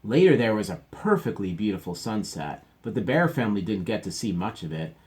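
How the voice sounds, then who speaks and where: A man teacher reading a picture book aloud to children, stopping for gentle chatty asides. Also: lowish voice, sing-song, a little fast.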